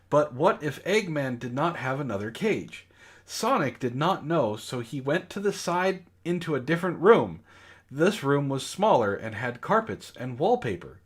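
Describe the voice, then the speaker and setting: A man's voice: a little high, breathy edge. A man reading bad fan fiction in mock-dramatic style, with exasperated, sarcastic asides. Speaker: reader on a comedy book club show.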